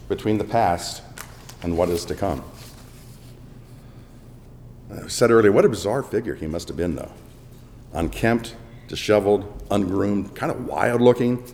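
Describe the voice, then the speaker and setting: Man, preacher, sermon, dramatic, animated pitch, reverberant church hall. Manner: dramatic, swings between booming and hushed. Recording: long echo of a large hall.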